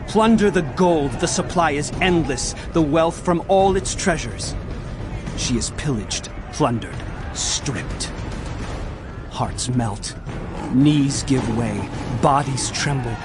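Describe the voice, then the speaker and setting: Casting: urgent biblical prophet, mid-pitched, emphatic and declamatory, clear musical backing. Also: male voice, animated, takes it slowly, over music. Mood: urgent, dramatic. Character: male prophet narrator, solemn, urgent herald of doom. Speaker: narrator. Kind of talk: dramatized scripture reading.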